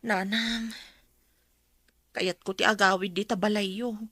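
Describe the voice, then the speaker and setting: A woman's voice: medium pitch, fairly even intonation, takes it slowly, sharp tone, dry recording. A woman with an Ilocano Filipino accent acting a weary, regretful mother in a radio drama, pleading and emotional. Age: adult. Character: Cora, weary regretful mother who left her children.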